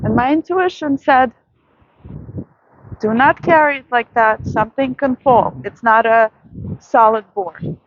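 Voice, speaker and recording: A woman vlogger giving a soft, reflective late-night monologue outdoors in a city, with many pauses and fillers. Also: fairly high pitch, lo-fi sound, slight background noise.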